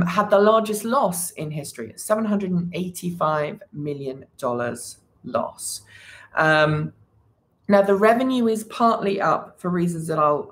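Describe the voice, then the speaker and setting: A woman with a slightly low voice hosts a casual livestream from her computer. She talks loosely and keeps restarting her sentences with lots of ums. She stops now and then to greet the viewers in the chat. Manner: hesitant, many fillers and restarts; chats with viewers, reads the chat. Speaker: livestream host.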